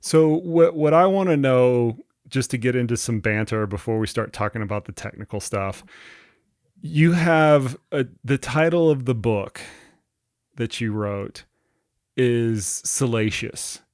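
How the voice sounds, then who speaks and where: A man hosting an interview podcast, relaxed and rambling, with many likes and restarts. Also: medium pitch, a little slow, clear, resonant tone, no echo, studio quality. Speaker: podcast host and coach. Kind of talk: casual interview chat.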